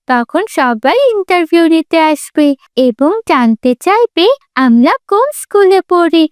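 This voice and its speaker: A woman voicing a boastful, excitable schoolgirl in a cartoon, coaxing and dismissive in manner. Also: sits high, dry recording, clean studio sound. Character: pushy, boastful schoolgirl obsessed with going viral. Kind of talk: cartoon voice acting.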